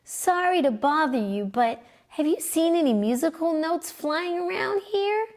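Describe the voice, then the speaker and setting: A woman's voice: a little slow, exaggerated voice. A woman voicing an eager, curious young boy in a children's storybook reading. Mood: polite. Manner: excited, eager, high-pitched child voice.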